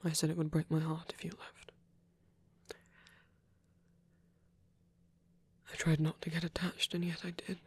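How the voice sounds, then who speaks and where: A man voicing a gentle, protective vampire priest in an ASMR roleplay, speaking softly and breathily in halting, earnest, tender confession. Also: a little high, completely flat delivery. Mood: tender, regretful. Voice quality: breathy, whispery, soft. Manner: hesitant, halting, earnest delivery. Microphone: close microphone, dry sound.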